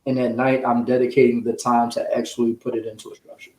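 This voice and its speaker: A man's voice: mid-range voice, level tone, fast, a little mellow. A man speaking as a guest author, earnest and encouraging in casual, motivational interview talk. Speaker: children's book author, guest. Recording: roomy, echoing sound.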